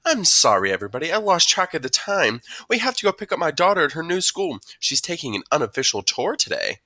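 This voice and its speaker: A man voicing a gentle, concerned and affectionate mother in an audiobook, speaking in a tender, coaxing, emphatic way. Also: fairly high pitch, sing-song, fast talker, no echo, pitched above the performer's own voice, heavily acted. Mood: apologetic, chatty. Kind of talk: audiobook character dialogue.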